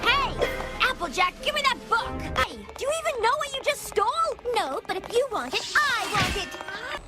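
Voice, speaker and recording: A quarrelsome female cartoon pony with an extremely high, wildly swooping voice. She exclaims shrilly over loud music. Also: big pitch swings, moderate pace. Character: bickering female cartoon pony grabbing for a book.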